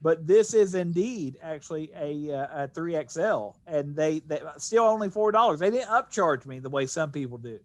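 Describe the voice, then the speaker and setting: High-pitched, rambling American man. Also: expressive, moderate pace, few pauses, no room sound. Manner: rambling, repeats and restarts phrases. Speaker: podcast host. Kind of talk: casual chat.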